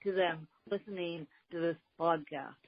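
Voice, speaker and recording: A woman hosting a podcast interview, speaking deliberately with a slight speech impediment. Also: fairly low pitch, muffled recording. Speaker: podcast host.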